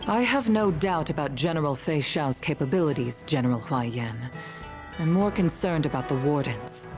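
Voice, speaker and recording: A woman's voice: sits low, animated, normal speed, over music. A woman playing a serious, worried government official in a game, formal and deferential. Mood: concerned. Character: formal, worried mature female commission official. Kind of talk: dramatic game voice acting.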